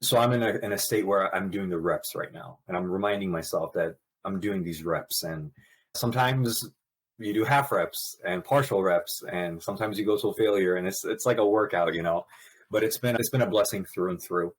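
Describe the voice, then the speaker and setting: A man's voice: sits low, slightly rough, poor audio. A man in his thirties, born in Pakistan and raised in Brooklyn, talking candidly as a podcast guest. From Pakistan, raised in Brooklyn, New York. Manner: candid, animated storyteller with quick laughs. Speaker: guest, nurse anesthetist. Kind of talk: podcast interview.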